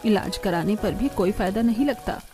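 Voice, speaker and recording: Woman acting mellow, careworn mother-in-law. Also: mid-range voice, normal speed, soft background music, pitched below the performer's own voice, lightly acted. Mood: troubled. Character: apologetic, careworn middle-aged village mother-in-law.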